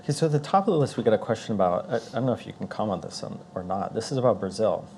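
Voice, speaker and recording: Deep, creaky-voiced American moderator. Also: male voice, expressive, dark tone, slight background noise. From Alaska, United States. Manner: informal, halting, jokey. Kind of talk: casual live Q&A.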